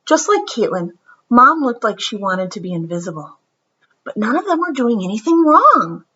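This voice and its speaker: A woman reading a children's picture book aloud in an animated, expressive storytelling manner. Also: on the high side, normal speed, husky, highs cut off. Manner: animated, dramatic storytelling with whispered asides. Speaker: storybook reader.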